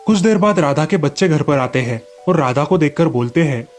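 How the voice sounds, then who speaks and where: A man's voice: on the high side, expressive, a little fast, faint music behind. A man reading scripted narration for children's moral stories as the storyteller.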